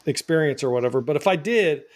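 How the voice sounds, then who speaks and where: A man hosting a casual podcast, joking with his guests and telling animated stories. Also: a little high, fast talker. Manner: jokey, animated storyteller. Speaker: podcast host.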